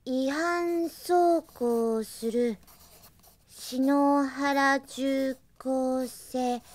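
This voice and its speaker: A woman's voice: sits high, a little mellow. An actress playing an earnest, scatterbrained young policewoman thinking aloud as she writes her diary in an anime radio drama. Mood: slow, concentrating. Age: young adult. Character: young policewoman, earnest, girlish, scatterbrained rookie robot pilot.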